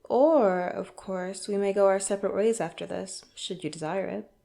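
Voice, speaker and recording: A woman playing a smug, menacing crime boss in an audio roleplay, speaking deliberately and tauntingly with cool politeness. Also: medium pitch, creaky, mellow, natural voice. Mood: smooth, persuasive. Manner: deliberate, taunting, coolly polite with threatening undertone. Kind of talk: audio roleplay acting, addressed to the listener.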